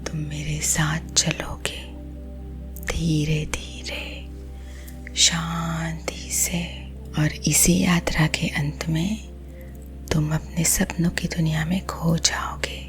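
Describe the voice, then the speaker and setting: A woman with an Indian accent guiding sleep hypnosis in a husky, breathy ASMR whisper, gentle and soothing. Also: low voice, flat delivery. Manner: slow, soothing, gentle, hushed. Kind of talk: whispered ASMR guided visualisation. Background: soothing music.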